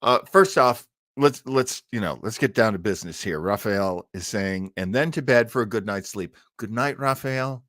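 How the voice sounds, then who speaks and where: A man's voice: fairly low pitch, animated, moderate pace, few pauses, fairly bright tone, no echo, studio quality. A man hosting a casual livestream, rambling enthusiastically as he answers viewer comments. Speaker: host of an old-time radio listening show.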